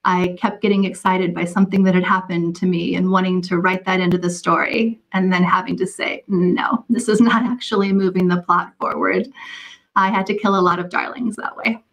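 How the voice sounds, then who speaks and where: A woman novelist speaking as a podcast guest, answering conversationally in a measured, articulate manner. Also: on the low side, steady tone, poor audio. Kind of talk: conversational anecdotal interview answers.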